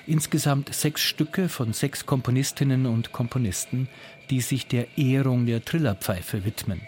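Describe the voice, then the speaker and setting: A man reporting as a culture journalist, reading a scripted radio feature in a measured, descriptive way. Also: medium pitch, darkish tone, a little hiss or hum.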